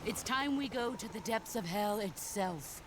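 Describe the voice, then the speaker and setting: An actress playing a solemn veteran military leader in a video game, composed, commanding and theatrical. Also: female voice, medium pitch, animated, measured pace, heavy background noise, lightly acted. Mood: solemn rallying. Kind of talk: video game cutscene acting.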